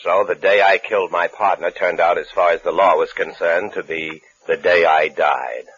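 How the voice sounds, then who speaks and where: A man's voice: deep voice, fairly even intonation, moderate pace, fairly bright tone. An actor playing a brooding, desperate man on the run for murder, narrating his own story in a 1950s radio suspense drama. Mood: brooding, calculating. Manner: brooding, fatalistic first-person storytelling. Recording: muffled vintage radio recording with music.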